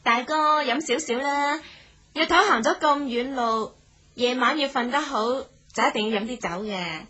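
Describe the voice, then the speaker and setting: High, bright, husky young heroine. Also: female voice, moderate pace, dull audio. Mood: brisk. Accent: Hong Kong Cantonese. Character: shrewd, confident young female traveller, historical heroine. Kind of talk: Cantonese radio drama acting.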